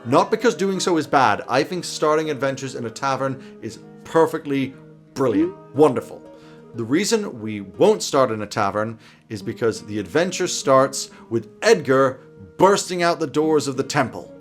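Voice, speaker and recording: A man in his thirties with a mid-pitched voice, hosting a tabletop advice video. He is wry and emphatic, speaking to camera from a small room over faint music. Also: big pitch swings, moderate pace. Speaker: host giving game master tips. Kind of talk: semi-scripted video commentary to camera. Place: small indoor home office.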